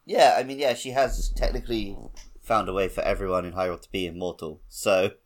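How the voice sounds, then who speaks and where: A man's voice: low voice, steady tone, fairly quick. A man co-hosting a podcast, talking casually and conversationally about a video game. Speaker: podcast co-host.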